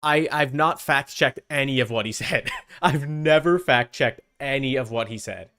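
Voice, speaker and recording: A man's voice: medium pitch, normal speed, sharp tone, clean tone. A young man giving casual reaction commentary as a streamer, informal and exclaiming as he thinks aloud.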